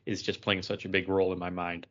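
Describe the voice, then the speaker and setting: A man's voice: low voice, level tone, quick pace, fairly bright tone, dull audio. A man in casual conversation as a podcast co-host. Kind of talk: casual chat.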